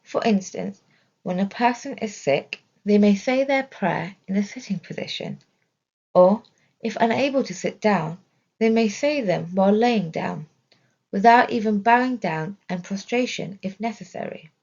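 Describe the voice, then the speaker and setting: Female narrator for religious study audio. Deliberate scripted delivery, some vocal fry, slightly muffled sound. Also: mid-range voice, lively intonation, darkish tone. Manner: measured, deliberate reading. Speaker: reader of religious study text.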